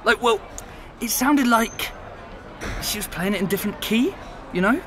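A chatty, laughing young ex-flatmate. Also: male voice, sits high, normal speed, a faint crowd, a little hiss or hum. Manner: chatty, laughs while telling. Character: chatty young man, ex-flatmate of a missing student. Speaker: former flatmate. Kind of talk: conversational audio drama acting.

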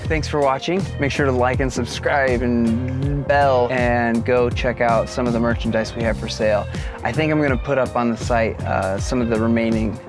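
A man in his thirties casually talking to camera from inside his camper van, relaxed and jokey. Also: mid-range voice, moderate pace, over music. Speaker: vlogger. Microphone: camera at close range.

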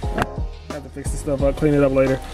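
A man's voice: mid-range voice, completely flat delivery, fairly quick, over music. A man narrating as he installs a radio in his truck, talking casually to the camera, rambling and correcting himself with lots of fillers. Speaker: DIY vlogger installing a CB radio. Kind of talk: casual how-to narration while working. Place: inside a pickup truck cab and garage.

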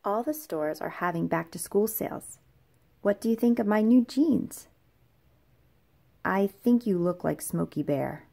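A woman narrates a children's comic book in a mellow voice. She takes her time and swings playfully between characters, with lively rises and dips in pitch. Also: a little slow, creaky, pauses often. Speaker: read-aloud narrator. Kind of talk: children's comic read-aloud, acting out dialogue.

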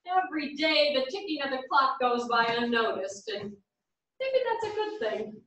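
An actress as a high-voiced, gentle older woman. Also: big pitch swings, normal speed, noisy background. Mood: reflective. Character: gentle, earnest older woman, easily puzzled, quietly droll. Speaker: actor in a staged reading. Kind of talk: theatrical staged reading. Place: small theatre stage. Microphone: distant camera. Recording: very echoey theatre, distant microphone.

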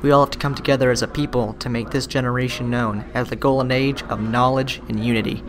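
A man's voice: mid-range voice, little pitch movement, fast. A teenage boy giving an earnest motivational speech about working together. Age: teens. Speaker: student speaker. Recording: noisy recording.

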